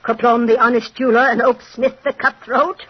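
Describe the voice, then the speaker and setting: Mocking, wicked old fortune teller. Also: female voice, fairly high pitch, level tone, fairly quick, husky. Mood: sly, gleeful. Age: older. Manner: sardonic, taunting, theatrical. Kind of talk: old-time radio horror drama acting. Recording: muffled, noisy old radio recording.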